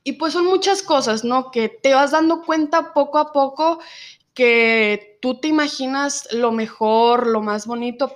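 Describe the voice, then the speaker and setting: A nineteen-year-old woman from Mexico with a Mexican Spanish accent, telling her own story in an interview and pausing often on fillers. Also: a little high. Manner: reflective, many filler pauses. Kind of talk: personal testimony in an interview.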